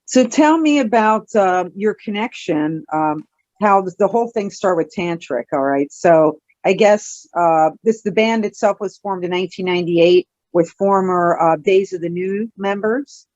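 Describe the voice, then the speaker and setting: A man's voice: sits high, measured pace, darkish tone, no echo, highs cut off. A man hosting a music interview, asking short, direct questions and giving brief affirmations.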